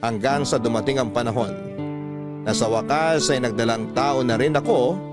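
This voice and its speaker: A man reading a first-person letter as a radio narrator, in measured, phrase-by-phrase storytelling. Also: medium pitch, over loud music. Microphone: close, dry studio microphone.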